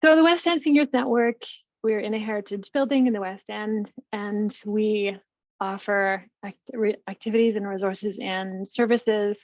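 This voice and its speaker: A woman who manages a seniors network, presenting her program in a webinar with lively energy and some restarts. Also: medium pitch, moderate pace, no room sound, muffled recording. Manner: energetic, talks through slides with restarts. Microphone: video call.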